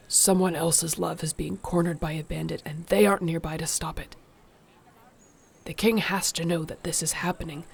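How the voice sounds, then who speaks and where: A woman playing a protective, devoted orc warrior girlfriend in an intimate audio roleplay, speaking softly, breathily and earnestly. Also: low voice, vocal fry. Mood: resolute.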